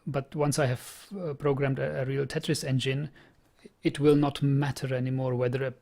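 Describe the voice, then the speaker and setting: A man's voice: medium pitch, little pitch movement, normal speed, gravelly, mellow. A young man with a Czech accent, chatting casually on a programming stream, often repeating words as he thinks aloud. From Czech Republic. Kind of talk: casual live-stream chat.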